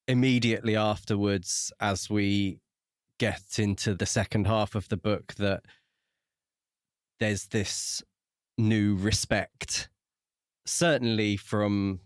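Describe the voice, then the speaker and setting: Low-pitched man, close dry podcast sound. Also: smooth, no room sound. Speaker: podcast co-host. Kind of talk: book club discussion.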